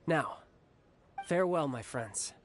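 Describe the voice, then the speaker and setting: An actor playing a suave, affectionate young warrior and big brother in a video game, easygoing and confident. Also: male voice, a little high, expressive, takes it slowly, a little hiss or hum. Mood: confident, friendly.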